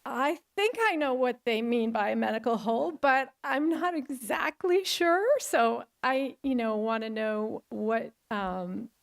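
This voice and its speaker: A middle-aged woman, a hospital risk manager, giving a chatty, enthusiastic conference talk in a large auditorium with a loud voice and many fillers. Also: on the high side, takes it slowly, creaky, hardly any pauses.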